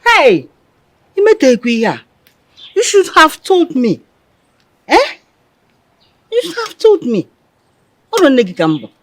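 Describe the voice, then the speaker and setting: A woman acting in a film drama as a protective, excitable middle-aged Nigerian mother, full of exclamations. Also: lowish voice, normal speed.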